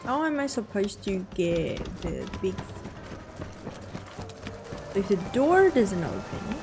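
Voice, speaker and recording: Woman game streamer, Mandarin accent, slow unhurried talk, stop-start chat, game music behind. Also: mid-range voice, sing-song. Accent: Mandarin-accented English. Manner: halting, chatty, answers chat with many restarts. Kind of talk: casual live-stream chat while gaming.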